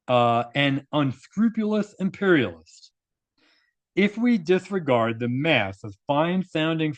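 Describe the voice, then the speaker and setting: A man's voice: a little high, dry recording. A man narrating a video, reading an article aloud and adding informal, opinionated commentary with rhetorical asides.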